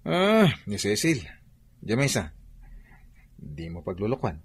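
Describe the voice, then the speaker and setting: Testy, bossy male boss, protective, rough husky voice, Ilocano accent, expressive radio drama read. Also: lowish voice, a little slow, heavily acted. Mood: warning.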